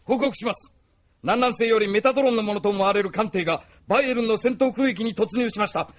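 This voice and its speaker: A man's voice: high voice, a little fast, slight background noise, lightly acted. An actor playing a formal, dutiful male soldier giving a report in an anime audio drama. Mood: formal, urgent.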